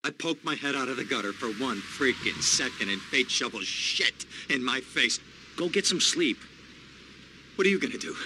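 An actor playing a jittery, sleazy lawyer in a video game, nervous, stammering and repeating himself. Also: male voice, mid-range voice, lively intonation, normal speed, pushed and bright, a little hiss or hum, poor audio. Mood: exasperated. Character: jittery, fast-talking sleazy male lawyer. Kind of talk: video game cutscene acting.

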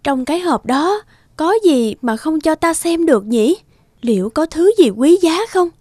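A woman voicing a sweet, curious young bride in a fairy-tale cartoon, wondering and expressive. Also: sits high, measured pace, dry recording, studio quality. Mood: curious, tempted. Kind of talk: animated fairy-tale dubbing.

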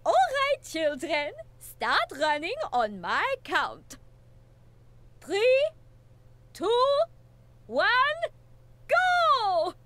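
A woman's voice: very high voice, wildly swooping pitch, extremely slow, long pauses, fairly bright tone, heavily acted. A woman voicing an encouraging gazelle schoolteacher in a children's cartoon game, speaking emphatically. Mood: excited, encouraging. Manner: emphatic, encouraging teacher talk. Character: cheerful adult female gazelle schoolteacher.

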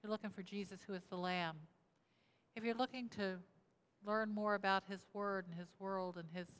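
A woman's voice: medium pitch, steady tone, moderate pace, a bit bright, clear, resonant tone. A woman in her sixties, a pastor giving an interactive sermon at a lectern, asking the congregation questions and repeating their answers. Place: church sanctuary, indoors, at a lectern. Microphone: lectern mic, camera at mid distance. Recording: reverberant church hall.

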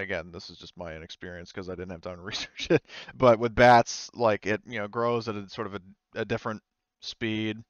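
A man improvising tabletop game narration as game master, explaining in a hesitant, halting way. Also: fairly low pitch, a bit bright, smooth, dry recording, dull audio.

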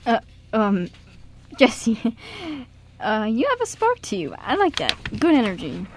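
Woman playing an excitable male theater director. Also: fairly high pitch, measured pace, full character voice. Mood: amused. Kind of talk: amateur radio play acting.

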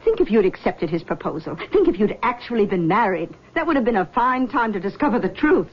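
A woman's voice: mid-range voice, big pitch swings, a little fast, slightly rough. An actress playing a possessive, manipulative middle-aged mother in a 1970s radio drama, soothing and controlling. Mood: persuasive. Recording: dull lo-fi old radio broadcast.

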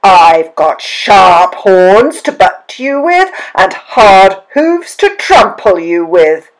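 A big, fearless billy goat from a children's tale, voiced by a woman storyteller. He is loud and challenging. He delivers his words slowly, with defiance. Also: mid-range voice, sing-song, poor audio. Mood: bold, defiant. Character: biggest, bold, defiant fighting male billy goat.

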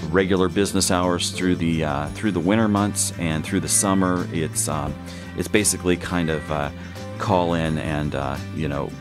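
A young man sitting at a table, casually reviewing outdoor gear with a relaxed, filler-heavy way of talking. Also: low voice, moderate pace. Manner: relaxed, many "uh" fillers, plain explanatory. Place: indoors at a table. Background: guitar music.

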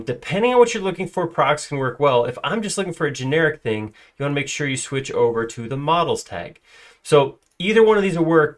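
Chatty man walking through software. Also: medium pitch. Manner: conversational, filler-heavy, self-correcting. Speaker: software trainer. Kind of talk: screen-recorded software tutorial.

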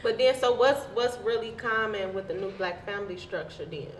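A woman's voice: medium pitch, moderate pace. A woman co-hosting a casual podcast discussion, arguing her opinions emphatically. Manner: emphatic, argumentative. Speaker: podcast host. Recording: echoey room with background noise.

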